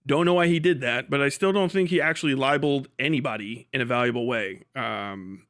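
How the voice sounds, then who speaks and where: A man's voice: mid-range voice, a little fast, fairly bright tone. A man hosting a casual livestream, rambling bluntly with lots of profanity and muttered asides to himself and chat. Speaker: livestream host.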